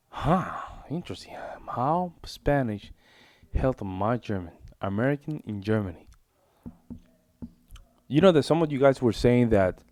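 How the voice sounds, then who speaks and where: A man's voice: medium pitch, mellow, no echo. A man hosting a reaction video, rambling casually and repeating himself as he reacts. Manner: chatty, rambling, repeats himself, informal "bro".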